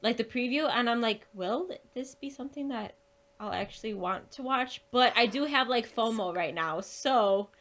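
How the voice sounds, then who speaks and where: A woman's voice: fairly high pitch, lively intonation, moderate pace, a bit bright. A woman chatting casually and informally as a podcast guest, often saying "like". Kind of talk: casual chat.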